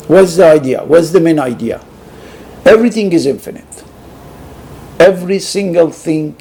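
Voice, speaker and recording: A middle-aged man with a Persian accent, giving a halting, unscripted lecture with many pauses. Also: medium pitch, dark tone.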